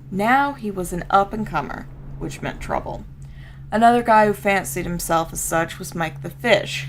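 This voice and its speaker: A rough street-gang old hand , played by a woman, spins a tale in a folksy, colloquial way with a Southern American accent. The voice sits a little low and crackles with fry, over faint noise, in the style of a video game story read aloud. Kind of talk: dramatic first-person story reading.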